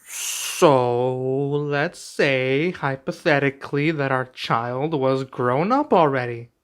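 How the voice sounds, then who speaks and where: A man's voice: medium pitch, slow pace, smooth, lightly acted. A man playing a tender, hesitant young king. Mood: playful, affectionate. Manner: soft, affectionate, hesitant delivery. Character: young king, doting, excited and nervous expectant father. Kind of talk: intimate ASMR roleplay acting.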